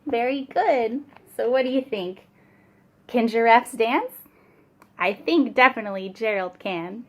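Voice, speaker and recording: A woman reading a picture book aloud for young children in an expressive, child-directed way. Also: a little high, a little slow. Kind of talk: slow read-aloud storytelling for young children.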